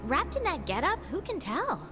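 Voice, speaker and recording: A woman's voice: high-pitched, huge pitch swings, moderate pace. An actress voicing a snarky, teasing young woman mage companion in a game. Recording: game audio with music behind.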